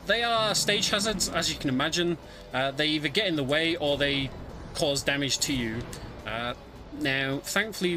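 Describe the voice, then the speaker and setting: A man giving live, explanatory commentary on a video game as he plays it, somewhat hesitant as he goes. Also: fairly high pitch. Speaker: speedrunner commentating own play. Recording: game music behind voice. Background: video game music.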